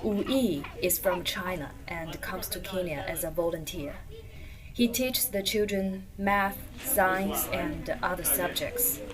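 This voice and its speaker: A woman reading a scripted documentary narration in a plain, measured way. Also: mid-range voice, recorded from a distance, rough recording.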